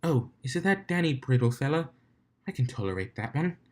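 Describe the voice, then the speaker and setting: A refined, fussy pony lady from a storybook, as a man puts her on. She is long-suffering and dry, with an exasperated edge. The pitch sits in an ordinary middle range. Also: sing-song, brisk, natural voice. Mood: weary disdain. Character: prim, exasperated female pony musician.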